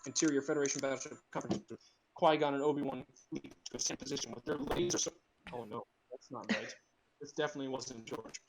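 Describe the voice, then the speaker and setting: A man's voice: mid-range voice, background noise. A man narrating screenplay directions in a casual group read, dropping in amused asides.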